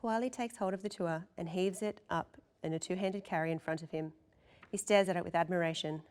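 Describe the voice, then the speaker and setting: A woman's voice: on the low side, steady tone, brisk, a little mellow, clear, resonant tone. A woman reading scripted narration and stage directions as narrator at a table read.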